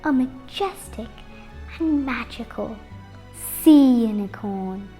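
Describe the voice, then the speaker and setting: An eight-year-old girl reading a children's picture book aloud, expressively and with deliberate pauses. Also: fairly high pitch, expressive, mellow, soft background music. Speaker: young storybook reader.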